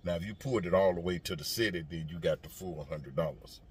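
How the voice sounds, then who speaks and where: A man's voice: low-pitched, fast. A man who drives trucks, talking casually to viewers and giving his opinion in a folksy, emphatic, repetitive way, with noisy ambience behind him.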